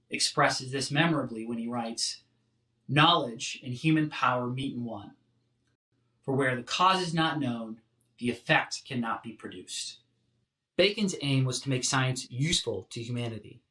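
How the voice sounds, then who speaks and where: Bright-voiced male lecturer, roomy sound. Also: medium pitch. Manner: measured, articulate, emphatic. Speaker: professor, lecturer. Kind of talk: scripted philosophy lecture narration.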